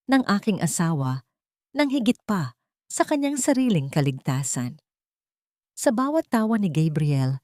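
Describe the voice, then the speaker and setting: A woman's voice: on the low side, darkish tone, dry recording, clean studio sound. A woman narrating a scripted first-person dramatic story as an audiobook narrator.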